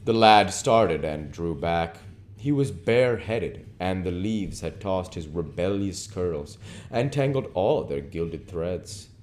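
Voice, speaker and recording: A man narrating a literary novel as an audiobook reader, with measured, deliberate phrasing. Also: sits low, animated, a little mellow. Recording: noticeable background noise.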